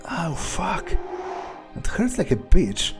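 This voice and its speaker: A man playing a foul-mouthed, sardonic vampire, musing aloud with wry, hesitant pauses as he plays a video game. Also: fairly high pitch, wildly swooping pitch, music in the background, full character voice. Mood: frustrated. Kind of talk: in-character playthrough narration, musing aloud.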